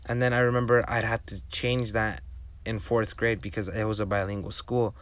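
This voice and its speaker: A man's voice: fairly low pitch, little pitch movement, normal speed, a bit bright. A man whose first language is Spanish, hosting a casual solo podcast and rambling with enthusiasm through many restarts. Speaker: podcast host.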